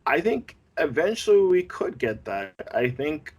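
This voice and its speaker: A man's voice: on the high side, very animated, measured pace, a little mellow, breathy edge, dull audio. A man co-hosting a podcast, giving his opinions in a slangy, colloquial, casual way. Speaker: podcast co-host. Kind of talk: casual chat, opinionated commentary.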